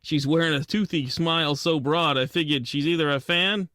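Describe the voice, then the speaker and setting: A man playing a wisecracking, soft-hearted penguin private detective in a radio drama, narrating and talking in old-time gumshoe slang. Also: a little high, normal speed, dry recording, studio quality. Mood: wry narrating. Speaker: lead actor and narrator.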